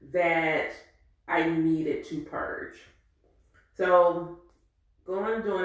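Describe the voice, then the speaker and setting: Candid woman in her forties, echoey room. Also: fairly low pitch. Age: 40s. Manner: candid, reflective, animated. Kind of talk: personal storytelling monologue.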